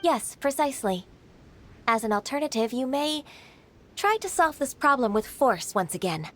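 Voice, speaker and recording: A woman voicing an earnest, polite but firm secretary in a video game, with measured, formal phrasing. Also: high voice, animated, fairly bright tone. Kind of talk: scripted video game voice acting.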